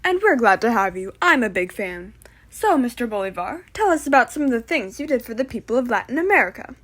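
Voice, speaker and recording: A woman's voice: fairly high pitch, very animated, a little fast, fairly bright tone. A woman playing a polite, slightly teasing talk-show host in a comedic puppet interview about history. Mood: admiring.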